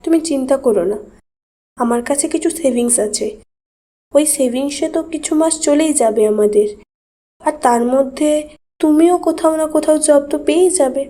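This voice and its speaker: A woman voicing a caring, reassuring young wife in a horror cartoon, with a gentle, coaxing manner. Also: high-pitched, moderate pace, natural voice. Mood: soothing. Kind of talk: Bengali horror cartoon voice acting.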